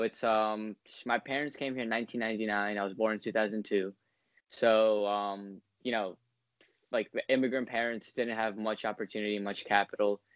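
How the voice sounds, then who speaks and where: A man's voice: fairly low pitch, dry recording. Young man, casual interview guest, rambling with fillers, dull lo-fi dry sound. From United States. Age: 20s. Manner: rambling, lots of ums and likes.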